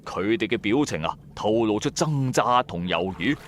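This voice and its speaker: A man's voice: on the low side, lively intonation, moderate pace, a little hiss or hum. A man with a Cantonese accent narrates a first-person ghost story in a colloquial, dramatic, suspenseful way. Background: music.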